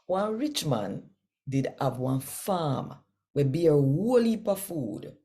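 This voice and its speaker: A woman with a Jamaican accent who hosts and reads scripture expressively, addressing listeners directly and deliberately. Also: low-pitched, sing-song, warm tone. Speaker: channel host and scripture reader. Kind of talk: expressive scripture reading and channel presenting.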